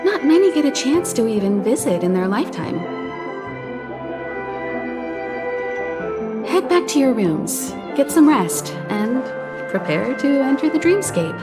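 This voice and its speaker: A woman voicing a poised crew leader in video game dialogue, measured and authoritative, with music behind her. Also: high voice. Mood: calm, serious. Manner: measured and authoritative, gives calm orders.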